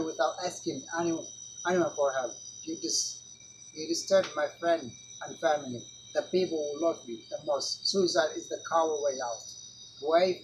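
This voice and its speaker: A man's voice: a little high, slight background noise, lightly acted, poor audio. A man gives voice to a wounded teenager who lashes out in bitter, accusing words. The voice sits a little above the usual range. Faint crickets sound behind him. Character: angry hurt teen, bitter about friend's suicide. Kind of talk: dramatic monologue reading.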